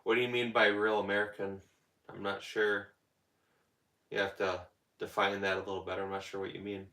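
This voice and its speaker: A man's voice: fairly low pitch, level tone, brisk, bright, forceful tone, reverberant. A man hosting a casual livestream, rambling and answering viewers' comments as they come in. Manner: rambling, talks back to chat comments. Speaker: livestream host.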